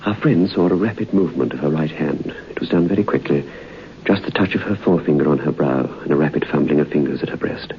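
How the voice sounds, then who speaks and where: An actor playing the narrator of an old-time radio ghost story, telling it in a measured, suspenseful way. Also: male voice, rumbling low. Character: storyteller recounting a friend's eerie experience. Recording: muffled old radio broadcast, faint noise.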